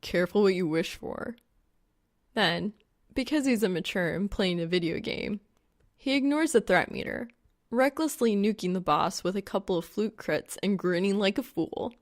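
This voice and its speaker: A woman reading fan fiction as an audiobook narrator, in an expressive, scripted storytelling style. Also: medium pitch, normal speed.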